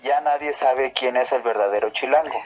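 A man's voice: medium pitch, moderate pace, soft background music. Jokey podcast host man. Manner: laughs often, jokey interviewer. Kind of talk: casual chat.

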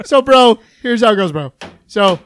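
A man's voice: high voice, animated, a bit bright, slight background noise, voice pushed up. A man putting on a voice to play a slangy, laid-back bro acting as a lawyer. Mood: cocky. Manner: drawling bro slang, fast and loose.

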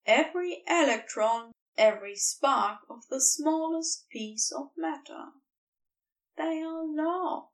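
A high-voiced woman narrates a tender piece of fiction at a slow pace. Her reading is gentle and literary, and she sounds set back from the microphone. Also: recorded from a distance, poor audio. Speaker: fan fiction audiobook reader. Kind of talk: scripted narration of a tender romantic story.

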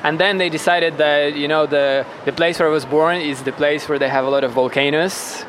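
A man's voice: on the high side, steady tone, brisk, fairly bright tone, slight background noise, low-quality recording. A man from western Ukraine with an Eastern European accent, talking casually and reflectively as a podcast guest. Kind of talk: casual interview.

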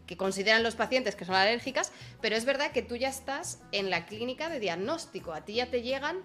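A woman's voice: a little high, a little fast, soft background music. Chatty woman host. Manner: chatty, lively storyteller. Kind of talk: casual chat, storytelling. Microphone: video call.

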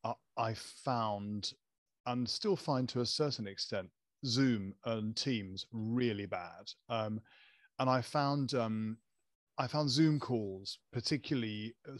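A man's voice: medium pitch, takes it slowly, no echo. Man who stammers, close dry sound. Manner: stammers, with hesitant fillers and repeated words. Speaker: guest. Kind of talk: casual conversation.

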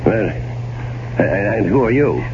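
An actor playing a middle-aged, nervous, guilty man posing as a gentleman in a 1970s radio drama. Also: fairly low pitch, animated, slow. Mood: flustered. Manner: brooding narration, flustered and evasive in dialogue. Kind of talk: 1970s radio drama acting with first-person narration. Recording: muffled, very noisy 1970s radio recording.

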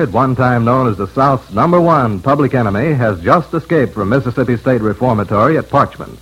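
A man's voice: medium pitch, fairly bright tone, breathy edge, low-quality recording. A man reading a news bulletin as a radio newsman in a 1940s style.

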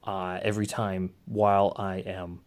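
A man with a naturally loud voice hosting a casual solo podcast, hesitant and often correcting and rephrasing himself as he goes. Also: low-pitched, little pitch movement, measured pace. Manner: hesitant, self-correcting, circling back to rephrase. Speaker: podcast host. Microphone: small handheld recorder or podcast microphone.

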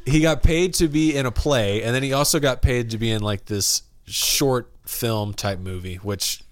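A man's voice: medium pitch, moderate pace, dry recording. A young man in his twenties hosting a podcast, chatting casually, rambling and laughing often. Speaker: podcast host.

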